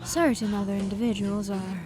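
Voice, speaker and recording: A woman's voice: mid-range voice, fairly even intonation, fairly quick. A woman giving scripted, dramatic story narration. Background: music.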